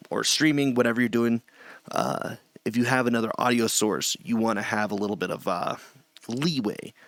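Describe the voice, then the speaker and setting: A middle-aged man with a low voice gives a loose, chatty screen-share tutorial from a small home room, often restarting his sentences. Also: gravelly, fairly bright tone, no room sound. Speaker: streamer teaching audio setup.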